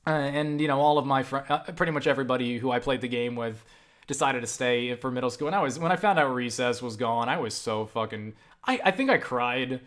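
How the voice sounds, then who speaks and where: Young man, casual vlog chat, rambling, swears a lot, mid-pitched voice. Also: a little fast. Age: young adult. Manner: rambling, profane, animated with frequent restarts. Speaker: video creator answering viewer questions.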